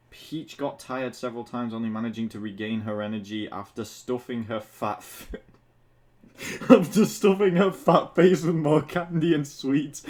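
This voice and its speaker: A man's voice: mid-range voice, darkish tone. A man reading fan fiction aloud for comedy, amused and crude, stumbling a little and dropping in asides. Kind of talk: comic read-aloud with asides.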